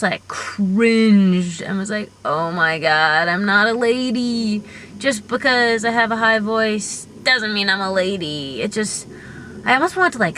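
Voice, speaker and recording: A young female-bodied genderqueer vlogger with a high voice, talking earnestly and reflectively to the camera, thinking aloud with small fillers. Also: a bit bright, a little hiss or hum, poor audio. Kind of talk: personal vlog monologue.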